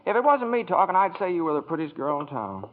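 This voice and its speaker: A man's voice: fairly high pitch, big pitch swings, fast talker, long reverb tail, phone-quality sound. An actor playing a restless young man dreaming big, earnest and often stammering, in 1940s radio acting, on a scratchy 1940s radio broadcast. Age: young adult.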